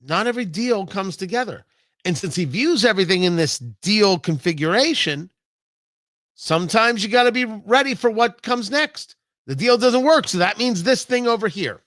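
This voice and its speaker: American guy doing a political talk show rant, sharp forceful voice, really emphatic, repeats stuff for effect, super dry sound. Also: sits high, expressive, no room sound. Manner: emphatic, deliberate repetition for effect. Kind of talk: opinionated political commentary monologue.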